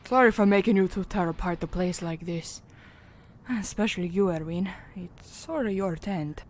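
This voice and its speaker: A woman's voice: fairly low pitch, normal speed, a little mellow, a little hiss or hum, close to an everyday voice. A woman playing a frustrated, sweary but apologetic survivor in an audio drama. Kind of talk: amateur audio drama acting.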